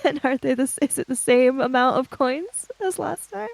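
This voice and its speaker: A woman playing in a tabletop role-playing game, chatting casually and narrating her character, sometimes haltingly. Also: high-pitched, creaky, no echo. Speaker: tabletop role-play player.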